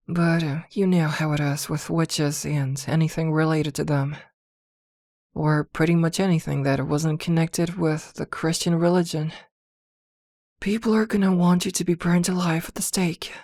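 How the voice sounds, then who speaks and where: A woman playing a possessive, protective witch girlfriend in an intimate ASMR roleplay, with a soft, coaxing murmur. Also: on the low side, dry recording, studio quality.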